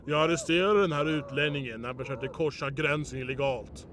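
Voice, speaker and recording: A man's voice: mid-range voice, lightly acted. A man voicing a bullying, eager gorilla guard in a cartoon fan dub. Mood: menacing. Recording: clear background noise.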